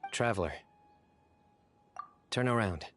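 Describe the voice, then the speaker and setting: Stern young game guardian: lowish voice, measured and deadpan, self-assured, music underneath. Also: male voice. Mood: reflective. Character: stern, composed young male guardian, quietly fond of friends. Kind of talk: game voice acting.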